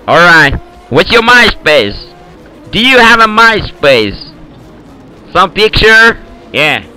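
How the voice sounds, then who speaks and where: A man's voice: high voice, very animated, slow pace, bright, forceful tone, clear, resonant tone, soft background music, low-quality recording. A man with an Eastern European accent trolling other players in game voice chat, boastful and in broken English, over a headset. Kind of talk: prank call banter in game voice chat.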